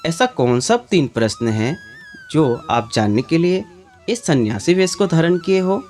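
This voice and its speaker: A man's voice: on the high side, big pitch swings, measured pace, a little mellow, pitched above the performer's own voice. A man voicing a curious, forthright village courtesan woman in a storybook tale, asking directly. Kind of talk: storybook narration dialogue. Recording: background music under the voice.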